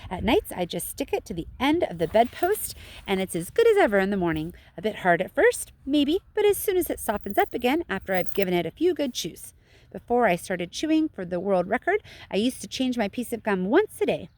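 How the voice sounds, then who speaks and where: A woman voicing a brash, chatty, excitable and boastful gum-chewing girl in a children's storybook. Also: mid-range voice, a little fast, no room sound, close to an everyday voice. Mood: gloating, excited. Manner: chatty, self-satisfied, excitable. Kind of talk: children's storybook read-aloud.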